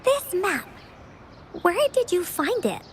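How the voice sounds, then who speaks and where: A woman's voice: very high-pitched, big pitch swings, takes it slowly, a little hiss or hum, exaggerated voice. A woman voicing a cheerful, childlike girl who talks about herself in the third person, in a video game. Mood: curious. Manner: bubbly, refers to herself by name. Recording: game audio with music behind.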